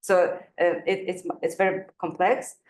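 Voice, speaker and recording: Low-voiced woman academic in echoey room. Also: level tone, normal speed, darkish tone. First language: not Kazakh; Kazakh is her second language. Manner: hesitant, many fillers, light laughs. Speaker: panelist. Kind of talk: academic panel talk. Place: university event room. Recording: echoey room with faint noise.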